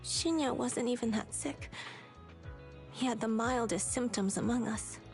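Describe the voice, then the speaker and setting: A troubled child patient in a video game. The voice is high and earnest, with a grave delivery. Quiet music sits behind it. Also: female voice, unhurried. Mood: distressed, guilty. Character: earnest sick child from a sanatorium, troubled, guilt-ridden.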